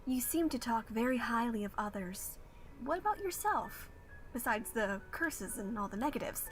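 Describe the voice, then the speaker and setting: A woman voicing a patient, reassuring counselor in an animated fan dub, speaking in a gentle, encouraging way. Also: fairly high pitch, normal speed, natural voice. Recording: faint music behind the voice.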